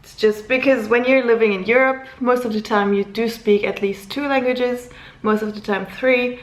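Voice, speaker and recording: A woman's voice: a little high, steady tone, moderate pace, echoey room, poor audio. A woman in her twenties from Luxembourg, German as her first language, chatting casually to camera as a vlogger, giggly and rambling.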